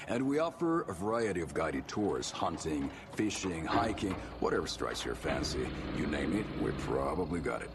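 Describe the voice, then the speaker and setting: Swooping, jovial small-town tour guide. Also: male voice, a bass voice, sing-song, normal speed, heavy background noise, heavily acted. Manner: chatty, showy, salesmanlike. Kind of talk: video game character acting.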